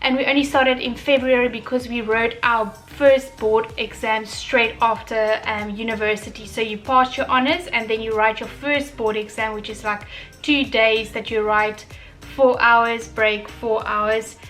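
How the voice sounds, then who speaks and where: A young South African woman talks in a relaxed, reflective way, her Afrikaans accent coming through on her English. Her voice sits a little high. Soft music plays faintly behind her. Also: measured pace. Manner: relaxed and reflective, with many fillers and restarts. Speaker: vlogger. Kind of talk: casual chat.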